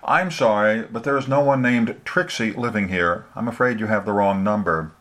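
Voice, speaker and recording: A man voicing a stiffly formal male household servant who speaks slowly and courteously. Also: on the low side, fairly bright tone, close to an everyday voice.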